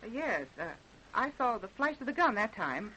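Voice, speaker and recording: Restless unfaithful wife, high bright voice, coaxing yet impatient, vintage radio drama. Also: female voice, big pitch swings, normal speed, low-quality recording. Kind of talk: old radio crime drama acting. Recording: faint hiss, old radio recording.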